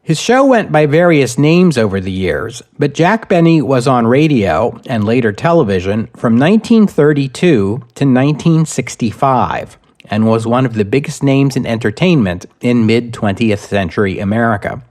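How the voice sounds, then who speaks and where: A man hosting a history podcast, reading scripted narration in a measured, deliberate way with wry asides. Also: medium pitch, animated, a little mellow. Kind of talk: scripted narration, storytelling lecture.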